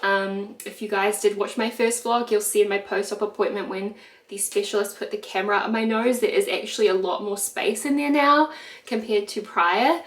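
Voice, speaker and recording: A woman's voice: medium pitch, steady tone, normal speed. Stuffy-nosed young New Zealand woman. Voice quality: nasal. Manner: chatty, filler-heavy. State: congested, stuffy nose. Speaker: vlogger. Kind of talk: casual chat. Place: home kitchen. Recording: echoey room.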